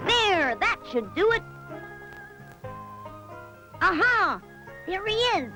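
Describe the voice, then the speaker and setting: Very high, chirpy cartoon cat, Puss. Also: female voice, full character voice, rough recording. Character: clever, eager, confident young cat servant, Puss. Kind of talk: cartoon fairy tale voice acting. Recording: loud music behind the voice. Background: loud music.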